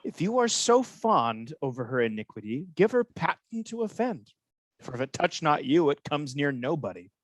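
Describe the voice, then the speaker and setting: A man's voice: a little high, big pitch swings, moderate pace, dry recording, poor audio. A man playing a scheming, manipulative villain in a Shakespearean radio drama, insinuating and goading in manner. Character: scheming, manipulative male villain, a soldier's confidant.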